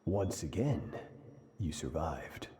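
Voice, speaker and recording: A man's voice: a bass voice, expressive, slow pace, dark tone, slight background noise, full character voice. An actor playing a cold, merciless male mentor in a video game, speaking in a stern, formal, commanding manner. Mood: cold, menacing. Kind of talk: dramatic video game voice acting.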